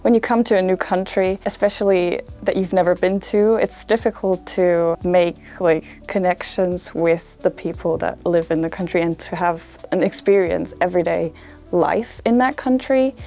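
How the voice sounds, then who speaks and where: A woman's voice: on the low side, fairly even intonation, normal speed, mellow, faint music behind. A woman in her twenties, a language student, answering interview questions in a casual, hesitant way full of fillers. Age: 20s.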